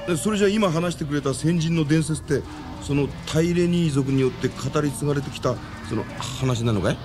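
An actor playing a curious, reasoning man with a husky voice in a Japanese radio drama. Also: a little high, fairly quick, over music, close to an everyday voice. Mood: curious, surprised.

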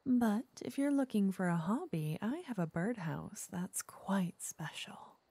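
A woman playing a flirty, teasing witch in an ASMR roleplay, softly and often in whispers, intimate and coaxing. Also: mid-range voice, lively intonation, a little slow, dry recording. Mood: playful, chatty. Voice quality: soft, breathy, often whispering. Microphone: close microphone.

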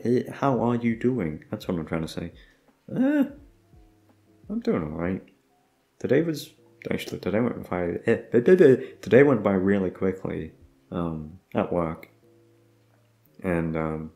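A man's voice: on the low side, huge pitch swings, measured pace, creaky, warm tone, faint music behind. A young man chatting casually off the cuff to listeners, rambling and hesitant, with some swearing. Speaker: audio roleplay creator. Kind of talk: casual unscripted chat.

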